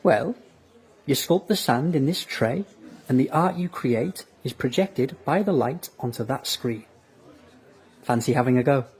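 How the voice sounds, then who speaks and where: A man playing a patient, encouraging sand artist in a naturalistic audio drama, with a husky voice. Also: medium pitch, animated, measured pace, darkish tone.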